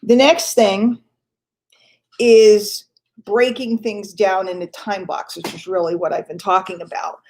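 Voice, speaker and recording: A woman's voice: medium pitch, steady tone, measured pace, a little mellow. Woman host, slightly congested with a cold, earnest motivational talk, repeats her points, casual asides. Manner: earnest, repeats key lines for emphasis; casual, conversational, with fillers and self-corrections. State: stuffy from a cold.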